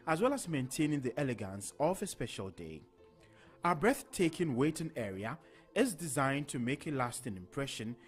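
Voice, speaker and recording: Brief: male announcer, animated pitch, polished ad read, soft music bed. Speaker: ad voice-over announcer. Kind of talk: scripted advertisement voice-over.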